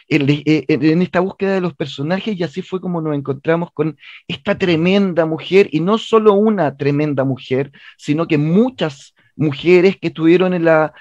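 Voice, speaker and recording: A man's voice: on the high side, darkish tone, no echo, dull audio. A man with a Chilean accent giving an earnest, explanatory interview as a theatre director, telling stories and repeating phrases for emphasis.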